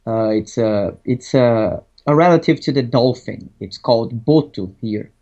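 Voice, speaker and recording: A man's voice: medium pitch, a little slow, mellow. A man from Brazil with a Brazilian Portuguese accent, a podcast guest chatting casually and hesitantly, restarting often. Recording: dull lo-fi audio.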